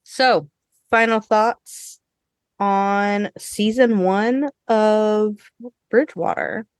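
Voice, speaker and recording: A woman hosting a podcast, chatting casually with co-hosts in an informal, expressive way with some swearing. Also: medium pitch, extremely slow, clean tone, no room sound. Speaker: podcast host. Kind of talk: casual review chat with co-hosts.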